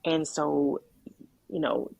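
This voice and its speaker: Deep, gravelly-voiced woman sharing her story. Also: level tone, a little mellow, no room sound, poor audio. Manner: candid and reflective, with halting restarts and frequent "um" and "like". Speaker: guest sharing her testimony. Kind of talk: candid conversational testimony.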